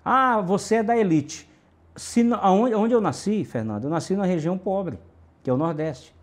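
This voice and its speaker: A man's voice: high-pitched, expressive, moderate pace. A middle-aged man from Brazil's Northeast, a city councillor answering interview questions emphatically with lists and repetition.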